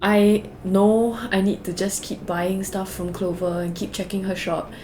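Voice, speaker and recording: A woman's voice: mid-range voice, flat delivery, a little slow, low-quality recording. A young woman from Singapore with a Singaporean English accent, chatting casually through a game tour with lots of fillers. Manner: chatty, rambling, many fillers and "like"s. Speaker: gaming video creator. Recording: faint background music.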